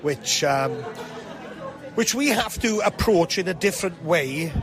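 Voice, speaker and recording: A man with a somewhat high-pitched voice chats casually as an interviewed pantomime actor. He is jovial and pokes fun at himself, with music clearly audible behind him. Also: lively intonation, a little slow. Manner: jovial, self-deprecating.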